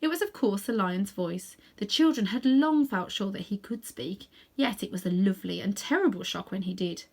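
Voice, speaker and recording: A woman's voice: mid-range voice, animated, normal speed, few pauses, darkish tone. A woman reading a storybook aloud, narrating expressively with casual asides to the listener. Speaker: storybook reader.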